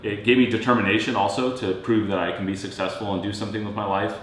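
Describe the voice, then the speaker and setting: A man in his thirties from Serbia, co-hosting a casual chat in a relaxed, rambling way. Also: quick pace. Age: 30s. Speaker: co-host. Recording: very echoey room, faint rumble.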